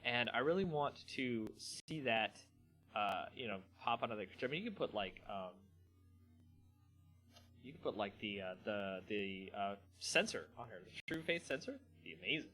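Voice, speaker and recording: A man hosting a live stream in a casual, slangy, rambling way, with halting pauses. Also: on the low side, expressive, bright, forceful tone, soft background music. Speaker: host of a card game channel. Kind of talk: casual live stream chat, then dramatic story reading.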